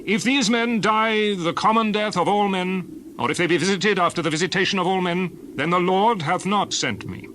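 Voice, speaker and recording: A man's voice: sits high, normal speed, fairly bright tone, slight background noise. An actor playing an earnest, urgent prophet leading his people, with declamatory, emphatic delivery in a dramatized scripture reading. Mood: solemn warning.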